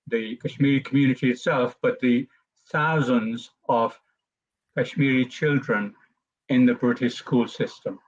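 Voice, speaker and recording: Measured man on a dull video call. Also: mid-range voice. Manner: measured, thoughtful, many hesitations. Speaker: panel speaker. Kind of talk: online panel discussion.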